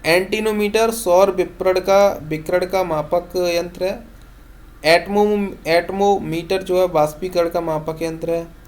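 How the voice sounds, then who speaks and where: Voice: medium pitch, steady tone, slow, darkish tone. Teacher running question-and-answer revision. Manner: instructional, poses each question then states the short answer. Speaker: exam-prep science teacher. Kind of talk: question-and-answer revision lesson read from notes.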